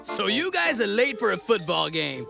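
A man's voice: high-pitched, very animated, moderate pace, creaky, music in the background, heavily acted. A man voicing a smug teenage bully leader who taunts and gloats. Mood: taunting. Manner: mocking, gloating laughs.

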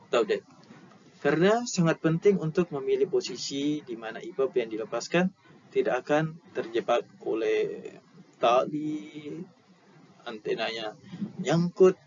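A young man with an Indonesian accent giving an informal slide lesson as an instructor, explaining haltingly and repeating key terms into a headset. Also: mid-range voice, a little mellow. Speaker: maritime safety instructor. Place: indoor room. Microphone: headset at a computer. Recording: dull lo-fi audio with clear background noise.

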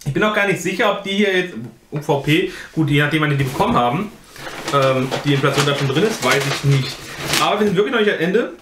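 A man in his thirties chats through a food box unboxing, rambling and filling gaps with hesitations. His voice sits a little high for a man. The room around him is echoey, with a faint hiss underneath. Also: expressive, fast. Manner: chatty, rambling, fillers, loose grammar. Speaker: food box vlogger, co-host. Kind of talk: casual unboxing chat. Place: indoor kitchen or living room, seated at a table.

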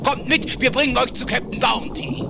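High-pitched eerie cartoon castaway. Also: male voice, fairly even intonation, normal speed, creaky. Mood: amused, eerie. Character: eerie laughing castaway among a ship crew. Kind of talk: German cartoon audio play acting. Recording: noisy crowd and ambience behind.

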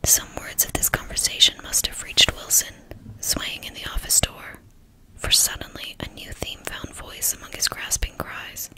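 Bright, whispering woman storyteller. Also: moderate pace, poor audio. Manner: steady, hushed reading. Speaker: narrator. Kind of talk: whispered audiobook reading.

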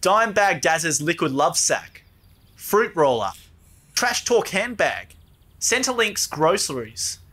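A lively, higher-pitched Australian cartoon bloke, voiced by a man. He is crude, dim and excitable, rambling along in slang with big swings of pitch. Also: takes it slowly, heavily acted. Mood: eager.

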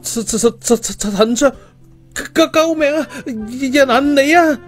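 A man's voice: very high-pitched, unhurried, soft background music, voice pushed up. A man voicing a timid, frightened female nurse who stammers in an audiobook story. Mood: panicked. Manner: stammering, shrieking. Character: terrified young female nurse, timid, caring.

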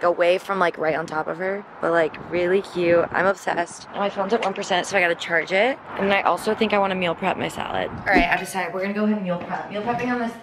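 A woman's voice: fairly low pitch, roomy sound, noisy background. Chatty young woman vlogging at home. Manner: chatty, upbeat, heavy use of "like" and "y'all". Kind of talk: casual vlog chat to camera. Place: home kitchen and apartment balcony.

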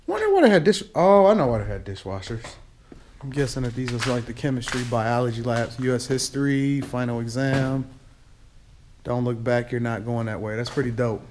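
A man walking through an abandoned school with an action camera, talking to himself in low asides and getting excited at what he finds. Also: moderate pace. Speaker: urban explorer vlogger. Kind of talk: casual walk-through narration. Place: abandoned school building, large indoor halls. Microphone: head or chest-mounted action camera.

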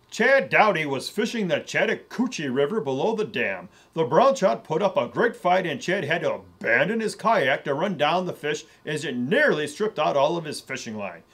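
Man as smug anchorman, husky, swinging pitch, a bit high. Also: a bit bright, exaggerated voice. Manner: pompous anchorman delivery. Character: pompous mustached male news anchor, adult. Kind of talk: parody news segment. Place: studio in front of a virtual news set.

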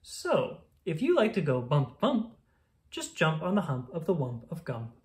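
A woman with a low-pitched voice reads a rhyming picture book aloud. Her delivery is singsong and expressive, moving animatedly up and down as a storyteller for children. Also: measured pace.

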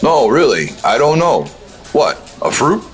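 An actor playing an irritable, argumentative junior government agent in a comic radio drama. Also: male voice, medium pitch, sing-song, unhurried, faint music behind. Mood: exasperated. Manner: exasperated, argumentative. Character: irritable, teasing male junior special agent.

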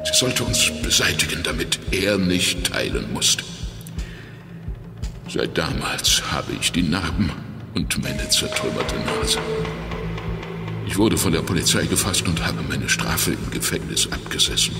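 An actor playing a weakened, injured ex-crook turned private detective in a children's audio drama, confessing in a halting, strained and determined way. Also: male voice, extremely low pitch, lively intonation, gravelly, exaggerated voice. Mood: weary confessing. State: weak and exhausted from injury. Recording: echoey reverberant hall, music underneath.

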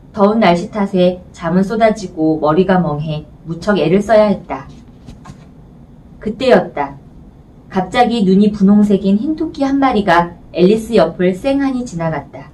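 A woman's voice: medium pitch, darkish tone. A woman narrating a children's storybook as an audiobook reader, in scripted narration. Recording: distant mic, faint background noise.

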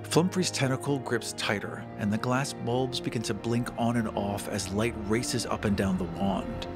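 A man taking part in a tabletop roleplaying game, describing the action as a deliberate, detailed story. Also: mid-range voice, steady tone. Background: music.

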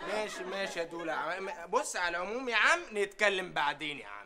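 A man's voice: high voice, lively intonation, fast talker, crowd noise. A man playing a grumbling, put-upon shop assistant with an Egyptian accent. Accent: Egyptian Arabic. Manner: whiny, grumbling.

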